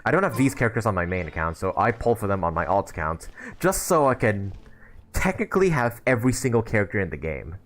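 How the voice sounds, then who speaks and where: A man's voice: fairly low pitch, very animated, fast talker. A man hosting a casual game livestream, rambling and thinking aloud as he chats to viewers. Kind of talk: casual livestream chat while playing a game. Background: game music.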